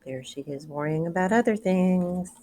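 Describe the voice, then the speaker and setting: Low-voiced woman reading aloud to children. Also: moderate pace, a little hiss or hum. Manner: expressive, asks listeners questions. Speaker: educator reading a picture book aloud. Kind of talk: read-aloud storytelling with commentary for children.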